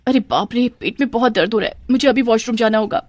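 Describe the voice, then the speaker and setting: A woman's voice: fairly high pitch, fast, a little mellow, no room sound, pitched above the performer's own voice, close to an everyday voice. A woman voicing a long-suffering young daughter-in-law in a cartoon tale, complaining and pleading. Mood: distressed.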